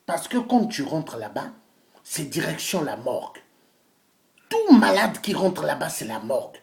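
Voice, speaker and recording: A man's voice: on the high side, wildly swooping pitch, measured pace, vocal fry. A man delivers an impassioned political monologue, declamatory and boastful, repeating phrases for emphasis. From Congo-Brazzaville. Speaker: self-styled pastor and political activist. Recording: echoey room sound.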